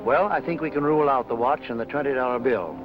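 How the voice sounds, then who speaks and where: An actor playing a calm, level-headed man in a 1950s film noir. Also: mid-range voice, fast, close to an everyday voice. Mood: measured. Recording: dull old film soundtrack, music behind.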